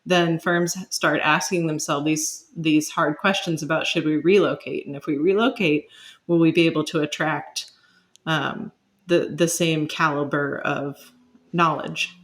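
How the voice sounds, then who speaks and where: A woman's voice: low-pitched, steady tone, a little slow. A woman, speaking as an expert guest and explaining ideas conversationally while searching for words.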